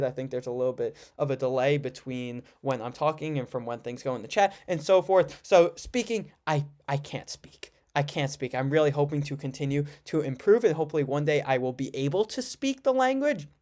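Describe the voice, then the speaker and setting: A man's voice: a little high, a little mellow, smooth. Young American man chatting casually. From United States. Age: around 20. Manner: informal, rambling, self-correcting. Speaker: livestream host, student. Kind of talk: casual livestream chat answering viewer questions.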